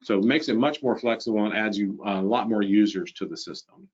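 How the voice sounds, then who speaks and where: A man's voice: on the low side, fairly quick, darkish tone, slightly rough. A middle-aged American man presenting a product webinar in an informal, explanatory, conversational way over a video call. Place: small indoor room, on a video call. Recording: dull, lo-fi webinar audio.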